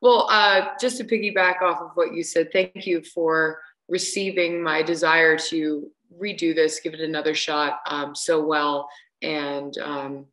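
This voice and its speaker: A woman's voice: sits low, steady tone, measured pace, a bit bright, poor audio. A young adult woman, a singer guest, chatting hesitantly with many restarts over a video call. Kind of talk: casual interview chat. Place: large room at home on video call.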